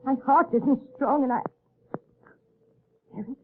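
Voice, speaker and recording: An actress in a 1930s radio drama playing a frail, frightened wife who pleads in a panic. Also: female voice, high voice, unhurried, a little hiss or hum. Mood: pleading. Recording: tinny old radio broadcast.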